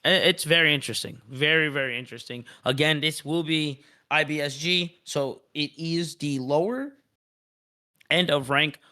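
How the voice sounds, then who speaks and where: A man's voice: on the high side, steady tone, normal speed, bright, forceful tone. A man hosting a casual esports live stream, upbeat and often repeating his words for emphasis. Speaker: esports league host.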